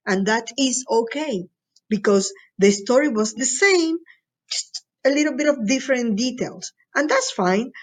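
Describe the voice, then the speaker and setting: A woman from Latin America teaching a recorded lesson to students in an encouraging, instructional way, often checking in with them. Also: a little high.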